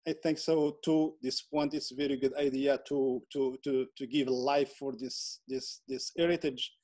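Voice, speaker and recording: A man from Morocco, a native Berber speaker with a Moroccan Berber accent, explains things informally in halting, repetitive non-native phrasing. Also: mid-range voice, darkish tone. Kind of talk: informal explanatory interview talk.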